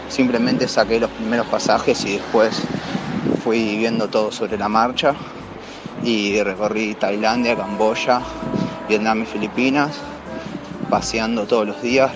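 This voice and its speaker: A young man from Argentina with an Argentine accent, talking about his trip in a relaxed, casual way. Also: fairly low pitch, low-quality recording.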